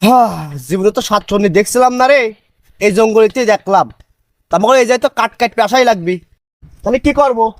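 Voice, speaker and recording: A man's voice: high voice, fairly quick, dry recording, studio quality. A man playing a young village woodcutter, doubtful in tone. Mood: uneasy.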